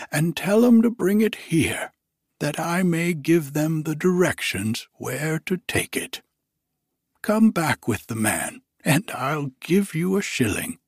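A man voicing an elderly, formerly miserly man who is now giddy with delight, exclaiming in a high, breathless voice. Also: breathy edge, no room sound, studio quality, heavily acted.

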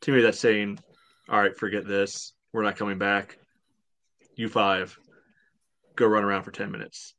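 A man's voice: lowish voice, flat delivery, moderate pace, pauses often, a bit bright, no echo. A man co-hosting a sports podcast, giving casual soccer analysis in a blunt, argumentative, dry manner.

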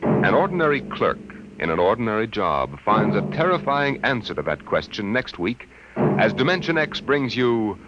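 Deep dramatic male radio announcer. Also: very animated, normal speed, background noise. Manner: dramatic, suspenseful. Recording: old radio with loud gunfire effects.

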